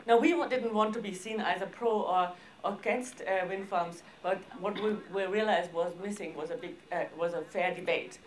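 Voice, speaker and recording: Woman giving a slide talk, informal, lots of hesitating and broken sentences, medium pitch. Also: moderate pace, few pauses. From Austria. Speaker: arts organisation director presenting projects. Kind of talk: seminar presentation with slides.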